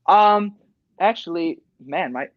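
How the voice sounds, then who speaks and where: Teen boy with a high, chatty voice. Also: animated, slow pace, no echo, muffled recording. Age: teens. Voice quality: high talking voice. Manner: chatty, many fillers like "you know". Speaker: guest, Elvis tribute artist. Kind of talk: casual interview chat. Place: small home studio indoors.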